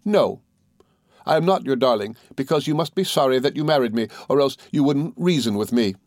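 A man's voice: mid-range voice, lively intonation, brisk, darkish tone, dry recording, clean studio sound, voice pushed up, lightly acted. A man voicing a childish, tearful young wife in an audiobook, piteous, pleading and pouting. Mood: wounded, petulant. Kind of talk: audiobook character dialogue.

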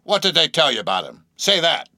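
A man's voice: on the high side, sing-song, a little fast, pushed and bright, no room sound, studio quality, pitched above the performer's own voice, heavily acted. A man voicing a shabby, insanely earnest old sailor in an audiobook, speaking abruptly with nervous emphasis and derisive, cryptic questions. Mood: derisive, ominous. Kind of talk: audiobook character acting.

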